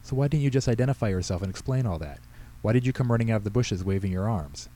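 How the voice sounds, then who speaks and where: A man voicing a calm, practical woman police officer who questions people in a direct, kindly but firm way in a story reading. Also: lowish voice, fast.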